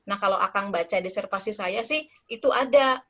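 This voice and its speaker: A woman's voice: medium pitch, a little fast, a bit bright. Chatty woman researcher, lo-fi sound. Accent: Serang. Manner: explanatory, chatty, frequent "gitu loh". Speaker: language researcher, guest. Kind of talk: casual discussion. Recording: dull, lo-fi call audio.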